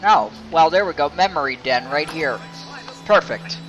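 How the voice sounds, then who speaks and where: A man's voice: on the high side, animated, moderate pace, fairly bright tone, highs cut off. A man streaming a video game with casual live commentary. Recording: game music behind the voice.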